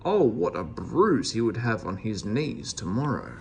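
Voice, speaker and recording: A man's voice: fairly low pitch, sing-song, unhurried, creaky, a little hiss or hum. A man reading a children's storybook aloud as a narrator. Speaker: storybook reader.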